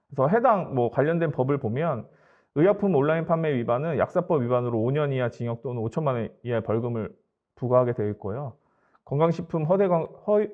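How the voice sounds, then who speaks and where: A man with a slightly high voice delivers a formal webinar presentation. He explains things politely and matter-of-factly, like a company presenter walking through slides. The audio is somewhat dull and lo-fi.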